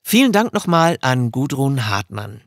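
A man hosting a podcast, presenting informally with a gravelly, husky voice. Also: medium pitch, sing-song, unhurried, no echo, clean studio sound. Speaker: podcast host. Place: studio.

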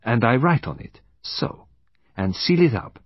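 A husky, very animated older detective, acted by a male audiobook reader. He is courteous, probing and sly, choosing each formal, foreign-phrased word with deliberate care. The sound is close and dry. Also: medium pitch, no echo, muffled recording. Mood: theatrical, assured. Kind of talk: audiobook character voice.

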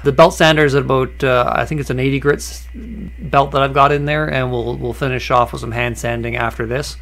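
A man explaining a woodworking project in a relaxed, conversational voice-over, with frequent "uh" fillers and small restarts. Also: medium pitch, normal speed, vocal fry. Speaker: woodworking channel host. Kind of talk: voice-over narration of a workshop project.

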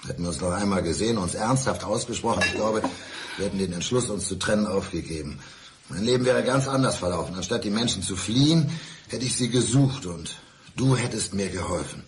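A man acting a bitter, low-voiced blind man. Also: expressive, a little fast, noisy background, natural voice. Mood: bitter, brooding. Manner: brooding, sardonic, insistent. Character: bitter blind divorced man, reclusive, needling his ex-wife. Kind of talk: 1990s German radio crime drama acting.

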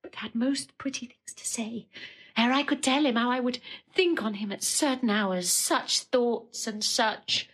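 A woman's voice: a little high, unhurried, a bit bright, slightly rough, low-quality recording. A woman playing a lovelorn, proud young princess in a classical Shakespearean audio drama, speaking with intense, declamatory feeling. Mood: yearning. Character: lovelorn young princess, proud, passionate, grieving her exiled husband.

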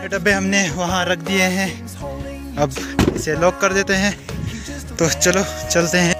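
A man's voice: high-pitched, fairly even intonation, measured pace, over loud music. A young man from the hills of northern India, narrating a casual travel vlog on a phone held at arm's length outdoors and in a car, talking chattily to viewers. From Uttarakhand hills, India. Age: young adult. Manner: chatty, addresses viewers directly. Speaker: vlogger. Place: outdoors on hill roads and in a car. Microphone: handheld phone camera at arm's length.

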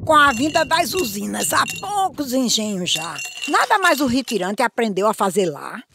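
A woman with a Brazilian accent playing a shrewd village prayer woman who profits from death, declaiming verse in an animated film. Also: a little high, moderate pace, creaky, noisy background. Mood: probing.

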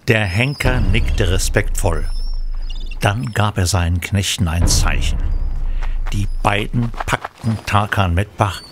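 An actor narrating a historical crime audio drama in a grave, measured manner. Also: male voice, lowish voice, noisy background. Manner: measured, solemn. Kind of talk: scripted narration.

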